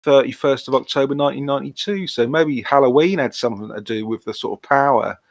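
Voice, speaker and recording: Male podcast co-host, mid-pitched, informal and chatty, recorded close and dry. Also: fast, gravelly, no room sound. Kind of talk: casual chat.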